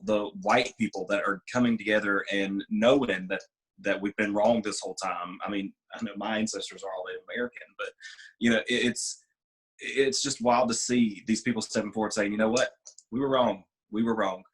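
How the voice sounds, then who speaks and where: A man's voice: lowish voice, fairly even intonation, fairly quick, rough recording. Easygoing man. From Alabama. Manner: easygoing, chimes in, rambling. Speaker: drag queen guest. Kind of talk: casual interview chat.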